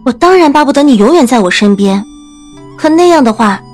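High, affectionate young bride-to-be. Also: female voice, animated, fairly quick, darkish tone, faint music behind. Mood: caring. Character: young bride-to-be, gentle, affectionate sworn sister. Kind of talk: Chinese costume audio drama acting.

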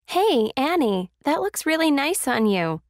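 A woman's voice: high voice, big pitch swings, unhurried, no room sound, clean studio sound, full character voice. An actress playing an enthusiastic, friendly woman in a scripted language-course dialogue.

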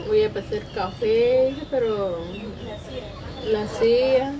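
Slow, halting Guatemalan woman outdoors. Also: a little high, a crowd in the background. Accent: Guatemalan Spanish. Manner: slow, halting and earnest, repeats "va". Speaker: interviewee. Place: outdoor concrete-block patio of a home. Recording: outdoor sound with birds behind.

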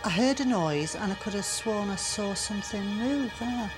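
A woman's voice: medium pitch, animated, moderate pace, music in the background. A middle-aged woman talking casually as a medium on an investigation.

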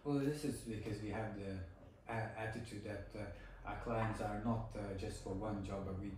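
Hesitant, flat-voiced man in an echoey room. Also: on the low side, moderate pace. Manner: hesitant, many fillers, courteous. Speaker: renovation business owner. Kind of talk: interviewing a client. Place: home dining room, indoors. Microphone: far camera. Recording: echoey room, far from mic, noisy.

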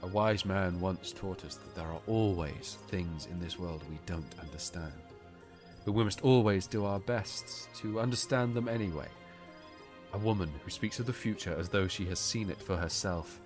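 A deep-voiced warrior king, acted by a male audiobook reader, solemn and gracious. He speaks formally and with weight, every phrase measured. Music plays underneath. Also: lightly acted. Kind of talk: audiobook character dialogue.

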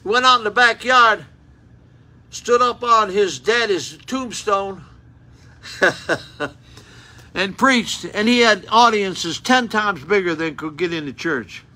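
An older man with a drawl preaches to a small church, recorded up close on a phone. His voice is high and very bright, and he throws out "huh?" and "you know what happened?" as he goes. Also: takes it slowly, pauses often. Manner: folksy, rhetorical questions, loud unamplified voice. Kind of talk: informal sermon with Bible reading. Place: small church room, indoors. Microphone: phone camera close up.

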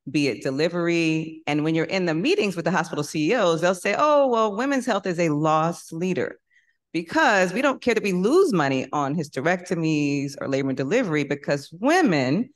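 A woman moderating a conference panel on stage, lively, putting rhetorical questions to the audience. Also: fairly low pitch. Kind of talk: panel discussion.

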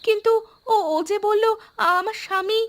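Woman as very high-pitched anxious young wife. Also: flat delivery, moderate pace, a bit bright. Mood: grateful, confused. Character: frightened, earnest young village wife. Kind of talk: horror cartoon dialogue.

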